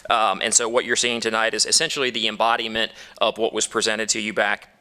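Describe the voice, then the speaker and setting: A man presents a development plan to a town council in an upbeat, persuasive way, with the odd filler. His voice is bright and forceful, a little low in pitch, and sounds smooth and clear. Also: little pitch movement, fast talker. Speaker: developer's attorney at a council hearing. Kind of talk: formal presentation.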